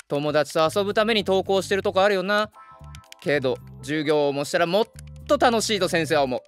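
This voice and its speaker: A man acting an easygoing, well-meaning young high school teacher with a Kansai accent, mild and gently scolding. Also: animated, a little fast. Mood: gently firm. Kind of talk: Japanese voice drama acting. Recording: music behind the voice.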